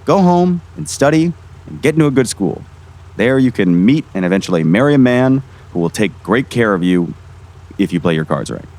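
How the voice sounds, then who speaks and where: A man's voice: mid-range voice, animated, normal speed, darkish tone, natural voice. A man playing a weary small-town sheriff in an audio drama, folksy, patronizing and dismissive. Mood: condescending. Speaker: voice actor.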